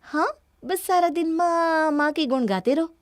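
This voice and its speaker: A woman's voice: very high-pitched, measured pace, no room sound, clean studio sound. A woman voicing a spoiled, defiant young wife in a cartoon story, arguing in a dismissive, indignant way. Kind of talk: Hindi cartoon story dialogue.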